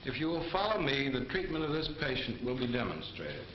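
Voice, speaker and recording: An actor playing a composed, authoritative army doctor, lecturing in a measured, formal 1940s training film manner. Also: male voice, mid-range voice, very animated, lightly acted. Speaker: military medical instructor. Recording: muffled, echoey, noisy 1940s film soundtrack.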